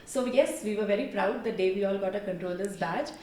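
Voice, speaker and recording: A woman's voice: mid-range voice, fairly quick, darkish tone, slight background noise. A middle-aged woman with an Indian accent giving explanatory, conversational interview answers, peppered with "you know" asides. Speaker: interview guest, former military air traffic controller. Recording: roomy echo, distant microphone.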